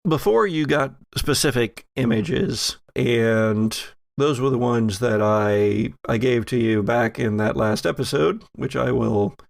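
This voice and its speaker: A man with a creaky, gravelly edge to his voice runs a horror tabletop game as game master. He narrates deliberately, pausing and doubling back on words, in a dry, close sound with little room to it. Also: medium pitch, no echo.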